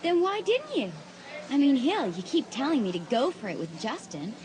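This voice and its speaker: A woman's voice: high voice, big pitch swings, normal speed, a crowd in the background, poor audio. A woman playing a breezy, self-centred, unapologetic teenage girl in a dramatised audiobook. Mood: dismissive.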